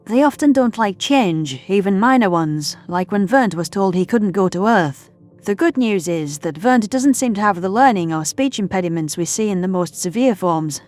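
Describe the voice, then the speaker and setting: A woman acting a kindly but firm scientist in a sci-fi audio drama. She explains patiently at a middle pitch, over faint music. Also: expressive, normal speed, a little mellow, natural voice. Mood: supportive explaining. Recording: music under the voice.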